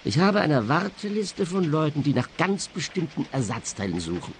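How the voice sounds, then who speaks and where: Ernster Schrottplatzchef, leichte Hintergrundgeräusche. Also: male voice, fairly high pitch, big pitch swings, normal speed, slight background noise. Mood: matter-of-fact. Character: earnest, matter-of-fact male scrapyard boss. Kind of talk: radio play dialogue.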